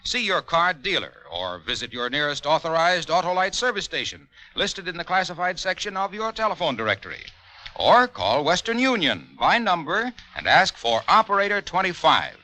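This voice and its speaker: A man delivering a 1940s radio sponsor pitch as an announcer, with polished, folksy salesmanship. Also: sing-song, normal speed, bright, forceful tone, poor audio. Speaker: commercial announcer.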